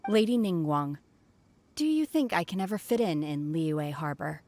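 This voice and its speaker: A woman's voice: low voice, expressive, normal speed, warm tone, faint music behind. A woman voicing a reserved, aloof young woman who speaks sparsely and hesitantly. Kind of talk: game cutscene voice acting.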